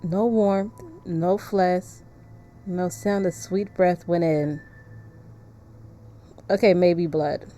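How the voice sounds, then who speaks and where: A woman's voice: on the low side, steady tone, extremely slow, pauses often, dark tone. A woman streaming a visual novel, chatting casually and reading the story text aloud.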